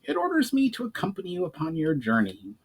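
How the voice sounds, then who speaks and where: A shrewd goblin leader from a fantasy tale, acted out by a male reader. The voice sits high and moves about a lot, with formal, insistent phrasing and a proud, unyielding temper. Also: wildly swooping pitch, fast talker, breathy edge, exaggerated voice, rough recording. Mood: matter-of-fact.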